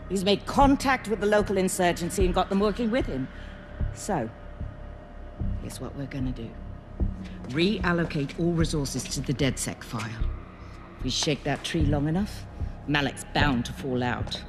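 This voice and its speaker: Ruthless British counterterrorism chief. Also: female voice, low-pitched, animated, moderate pace, pauses often. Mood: angry, commanding. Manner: profane, blunt, authoritative. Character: ruthless, commanding female counterterrorism director, sharp-tongued. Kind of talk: video game cutscene acting. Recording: loud music under the voice.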